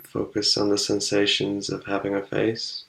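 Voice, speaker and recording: Low, gravelly man guiding meditation. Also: poor audio. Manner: calm, unhurried instruction with long pauses. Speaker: meditation guide. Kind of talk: guided meditation.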